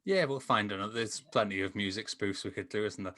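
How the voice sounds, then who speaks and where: A man's voice: fairly low pitch, quick pace, a bit bright. A man chatting enthusiastically and at rambling length as a reviewer on a podcast recorded over a call. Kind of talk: casual film discussion.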